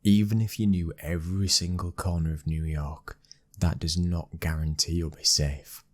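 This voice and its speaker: A British man in an ASMR boyfriend roleplay, playing a commanding, protective young mafia boss's son with a low, husky, controlled voice. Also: fairly even intonation, moderate pace, close to an everyday voice. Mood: stern, protective.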